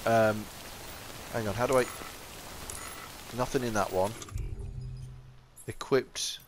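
A man's voice: fairly low pitch, fairly even intonation, takes it slowly. Chatty South Yorkshire man, rambling. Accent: South Yorkshire English. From England. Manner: rambling, chatty, self-correcting, laughs often. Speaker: gaming channel host. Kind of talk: casual let's-play commentary. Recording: game music faintly behind.

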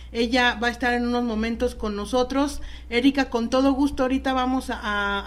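A Mexican woman hosting a live talk show, chatty and informal as she presents and interviews guests. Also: a little high. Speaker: radio show host.

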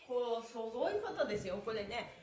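A woman's voice: on the high side, lively intonation, a little fast. A middle-aged woman leading a stretching session for viewers in an upbeat, chatty, polite and exclamatory manner. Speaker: stretching instructor and live-stream host. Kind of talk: cheerful exercise instruction to viewers. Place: small indoor room with bare walls. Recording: roomy, echoey small-room sound.

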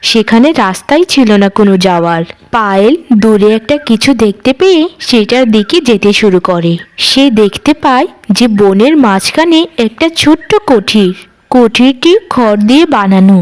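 A woman's voice: fairly high pitch, expressive, normal speed. A woman narrator reading a scripted fairy tale for a cartoon.

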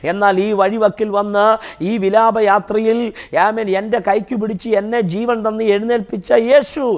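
A man's voice: high voice, level tone, moderate pace. A man delivering a fervent evangelistic sermon, emphatic and repetitive as he exhorts his listeners. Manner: emphatic, repetitive, exhorting, swinging between hushed and raised delivery. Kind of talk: fervent evangelistic sermon addressed to broadcast viewers.

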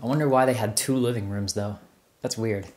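A man's voice: fairly low pitch, fairly even intonation, brisk, a little mellow. A man in his twenties doing casual vlog narration as he explores indoors, musing and wondering aloud. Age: 20s. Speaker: urban explorer vlogger. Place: indoors, rooms of an abandoned house. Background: music.

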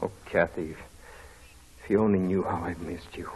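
A man's voice: low-pitched, steady tone, normal speed, a little mellow, breathy edge. An actor playing a proud, passionate young stable boy in love, in 1940s radio drama style. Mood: tender, longing. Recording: dull old radio broadcast recording.

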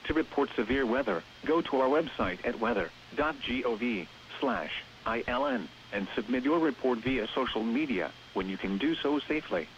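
Synthetic male voice for weather radio alerts: measured, impersonal scripted read, dull band-limited signal with faint hiss. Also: medium pitch, expressive, fairly bright tone. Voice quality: synthetic text-to-speech sound. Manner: measured, impersonal, clipped reading. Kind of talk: scripted emergency weather warning announcement. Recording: muffled, hissy weather radio broadcast.

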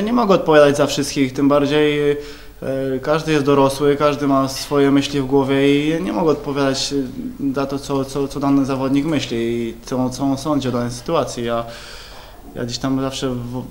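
A young man, a football player, answering questions at a press conference, halting with many repeated words and fillers. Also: mid-range voice, a little mellow, a little hiss or hum. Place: club press room, indoors. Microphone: table mics in front.

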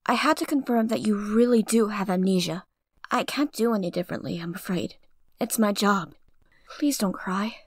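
A woman voicing a stern, composed guild mistress creature in a comic dub, speaking formally and with measured authority. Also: medium pitch, dry recording, clean studio sound, close to an everyday voice. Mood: regretful. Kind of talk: fan comic dub voice acting.

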